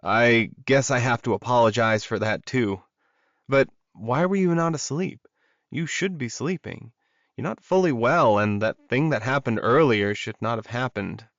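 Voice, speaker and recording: A man's voice: mid-range voice, measured pace, a bit bright, no room sound, dull audio. A man voicing a protective, earnest alpha werewolf in an audiobook romance. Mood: concerned.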